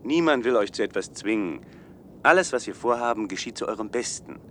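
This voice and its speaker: A man's voice: on the low side, lively intonation, fairly quick, a bit bright, a little hiss or hum. An actor playing a naive, condescending city man in a German science-fiction radio play, with a lecturing manner. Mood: patronizing. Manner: lecturing, self-assured.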